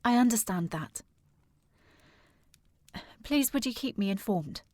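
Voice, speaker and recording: A woman playing a determined, formal young gentlewoman worried about her sister in a period audio drama. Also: fairly high pitch, lively intonation, slow pace, no echo, clean studio sound. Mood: composed, formal. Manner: formal, precise, insistent.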